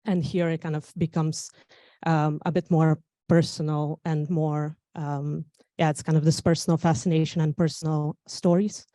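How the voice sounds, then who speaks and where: Soft-spoken Bulgarian woman with many fillers. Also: low voice, little pitch movement, moderate pace, dry recording. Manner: hesitant, many fillers and restarts. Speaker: conference speaker. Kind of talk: conference talk. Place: conference venue.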